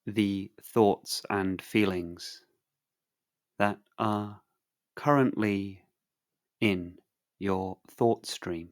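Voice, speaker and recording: A man guiding a sleep meditation in a calm, soothing, measured way with long pauses. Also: lowish voice. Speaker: meditation guide.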